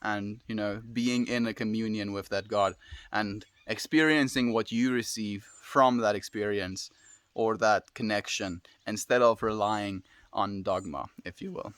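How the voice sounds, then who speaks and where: A man from South Africa with a South African accent, hosting a live stream, chatting with viewers in a relaxed, musing way with soft laughs. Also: fairly low pitch, a little slow, smooth, no room sound. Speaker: livestream host. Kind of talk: casual live-stream chat reading viewer comments.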